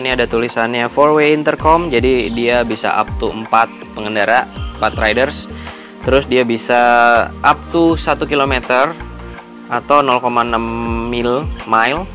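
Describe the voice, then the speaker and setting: A man's voice: medium pitch, sharp tone. Male vlogger, Jakarta Indonesian accent, mid-range voice, informal unscripted product walkthrough over light background music. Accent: Indonesian, Jakarta colloquial. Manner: informal, explanatory, thinking aloud. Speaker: motovlogger, product unboxing host. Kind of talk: casual unscripted unboxing and tutorial chat.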